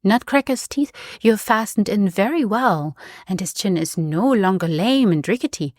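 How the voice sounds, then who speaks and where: A woman reading aloud, voicing a lively, bold young boy. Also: medium pitch, normal speed, no room sound, clean studio sound, close to an everyday voice. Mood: cheeky.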